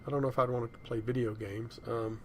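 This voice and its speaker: A man presents a software demo in a casual, rambling way, thinking aloud as he goes. His medium-pitched voice has some creak. Faint room noise and keyboard typing sit behind him. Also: flat delivery, quick pace. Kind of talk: casual screencast demonstration. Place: indoors at a computer.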